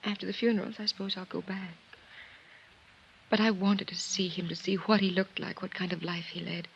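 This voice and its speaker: An actress playing a composed, quietly intense woman of twenty-one with a husky voice, in a 1950s radio drama. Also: mid-range voice, little pitch movement, fairly quick, a little hiss or hum, close to an everyday voice. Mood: pensive. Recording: dull old 1950s radio recording.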